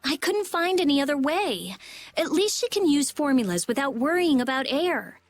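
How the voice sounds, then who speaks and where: A young genius researcher in a video game. Her voice is on the high side, and she explains things bluntly and seriously, without softening them. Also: big pitch swings, normal speed. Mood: somber, explaining.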